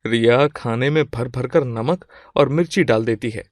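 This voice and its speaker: Male storyteller, scripted narration, medium pitch, dry close recording. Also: unhurried, no echo.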